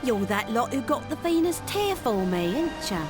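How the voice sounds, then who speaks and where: Cheeky young female treasure hunter, English accent, animated game delivery, music underneath. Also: fairly high pitch, normal speed. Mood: cheeky, boastful. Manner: slangy, brash, teasing. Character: cocky, teasing young female treasure-hunting thief. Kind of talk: video game cutscene acting.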